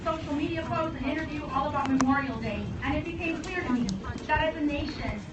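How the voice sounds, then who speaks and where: A woman delivering a formal commemorative speech as guest speaker at a memorial service, in a solemn, measured manner with deliberate phrasing. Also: high voice. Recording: echoey, distant, dull and very noisy.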